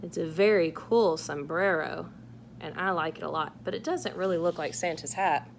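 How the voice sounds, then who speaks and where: A woman reading a picture book aloud to young children in a playful, animated way, asking exaggerated wondering questions. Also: lowish voice, normal speed, creaky, a little hiss or hum. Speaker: children's read-aloud host.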